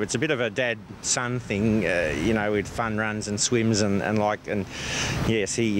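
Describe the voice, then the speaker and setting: A middle-aged Australian man, an athlete, chatting casually in an interview with a self-deprecating manner. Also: lowish voice. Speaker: amateur athlete being interviewed. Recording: clear outdoor traffic noise.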